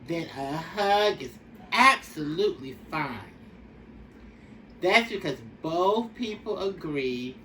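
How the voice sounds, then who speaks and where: A woman reading a picture book aloud for young children in a deliberate, instructive way. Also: fairly low pitch, a bit bright. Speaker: storybook reader. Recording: distant mic with faint background noise.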